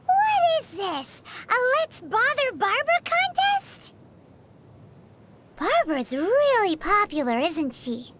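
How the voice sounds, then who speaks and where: A woman voicing a tiny, excitable floating fairy companion in a video game, with a squeaky childlike voice and an exclaiming manner. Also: slow pace, background noise, full character voice. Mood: chatty, opinionated. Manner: childlike, exclaiming, speaks of herself in third person.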